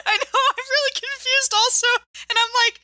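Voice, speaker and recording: A woman's voice: very high-pitched, moderate pace, sharp tone, no room sound, clean studio sound. A woman playing a tabletop game, chatting casually and jokingly in a giggly, playful way.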